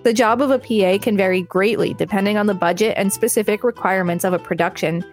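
A woman's voice: medium pitch, fairly even intonation, fast, soft background music. A woman co-hosting a podcast, reading an article aloud and adding casual commentary. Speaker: podcast co-host.

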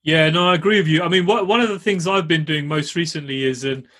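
A man's voice: a little high, quick pace, fairly bright tone. A man hosting a podcast interview, talking candidly and earnestly in a casual way, often saying "you know" and "man". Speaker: podcast host.

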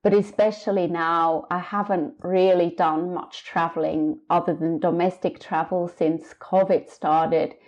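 A woman in her thirties from Switzerland, a book author talking reflectively in an interview with many pauses and fillers. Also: fairly low pitch, steady tone, darkish tone, lo-fi sound. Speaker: author guest. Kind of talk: casual interview conversation.

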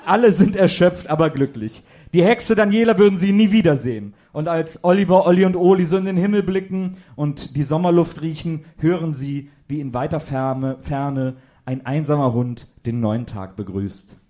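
A man's voice: fairly high pitch, normal speed, dark tone. A man reading scripted narration for a comedic audio drama.

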